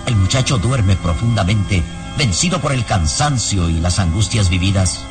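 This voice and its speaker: A man narrating a radio serial in a suspenseful, theatrical and measured scripted style. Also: on the low side, lively intonation. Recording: radio drama under loud music.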